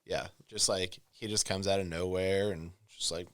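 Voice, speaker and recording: Low-voiced wry man chatting. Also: fairly even intonation, normal speed, clean tone, dry recording, clean studio sound. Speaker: podcast co-host. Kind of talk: casual chat.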